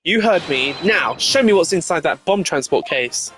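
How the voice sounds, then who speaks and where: Man as self-assured game attorney, slightly high male voice, pointed and accusatory delivery. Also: expressive, moderate pace, a bit bright, soft background music. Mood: confident, accusing. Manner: assertive, accusing. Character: confident, dogged male defense attorney.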